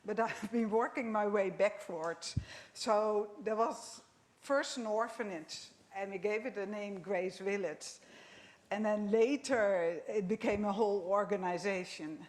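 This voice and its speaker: A middle-aged woman from the Netherlands with a Dutch accent, a missionary nurse being interviewed in church, matter-of-fact and quick to laugh. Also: medium pitch. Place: church hall.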